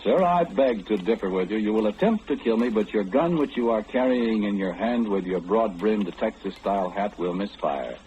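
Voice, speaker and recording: A muffled old radio horror recording carries a man acting as an eerie, all-knowing mind reader. He is sly and unflappable, with a low voice that swings theatrically as he confides insinuations to the listener. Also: lively intonation, normal speed, hardly any pauses, warm tone, slight background noise, close to an everyday voice. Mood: coolly confident.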